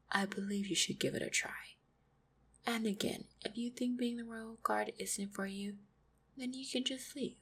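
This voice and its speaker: A woman voicing an affectionate, supportive orc fiancée in an intimate roleplay audio, speaking tenderly and reassuringly with gentle teasing, often close to a whisper. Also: medium pitch. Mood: playfully supportive. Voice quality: breathy, husky, often near-whispered.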